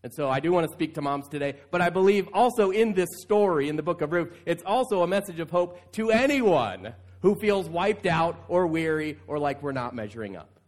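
A man's voice: fairly high pitch, animated, a little fast. A man, a pastor, preaching a conversational sermon in an informal way and repeating phrases for emphasis. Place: church service, speaking to congregation.

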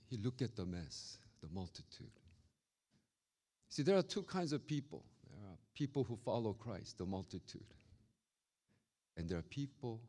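A man from Korea with a Korean accent, preaching a sermon as a pastor and telling stories with lively acted-out voices. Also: low voice. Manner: animated, acts out quoted voices. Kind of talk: storytelling sermon.

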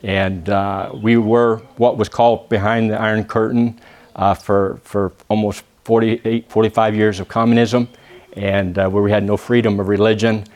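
Texan preacher calling out amen. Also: male voice, on the low side, level tone, darkish tone. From Texas. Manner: peppers phrases with "amen?" asking the congregation to answer; halting, with many "uh" pauses and restarts. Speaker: visiting preacher. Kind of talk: church sermon with prayer.

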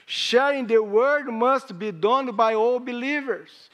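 A hoarse man preaching a sermon in English, speaking in halting phrases with rising emphasis. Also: very high voice, fairly bright tone, highs cut off. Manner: slow, halting delivery with pauses and rising emphasis; non-native English with grammar slips.

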